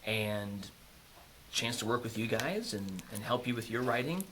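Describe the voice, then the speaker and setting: A flustered man runs a class on a video call, stumbling over fillers and hedges. His pitch swings in a lively way as he talks. He sounds like a nervous teacher trying to keep order. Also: measured pace, noisy background. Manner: flustered, hedging, filler-heavy talk. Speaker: teacher in a comedy sketch. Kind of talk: improvised comedy sketch on a video call.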